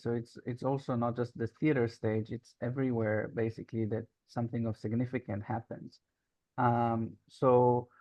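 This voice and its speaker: A man's voice: mid-range voice, a little mellow, dry recording, muffled recording. Reflective man. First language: Hebrew. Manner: reflective, searching for words with frequent pauses. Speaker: guest, social arts facilitator and founder. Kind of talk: casual interview conversation.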